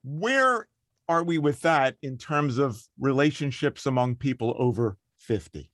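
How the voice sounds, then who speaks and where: A friendly man hosts an interview, building long, thoughtful questions and reacting with warm enthusiasm. His voice is recorded close and dry, with no room sound. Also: mid-range voice, expressive, measured pace, dry recording. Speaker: podcast host. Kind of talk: interview hosting.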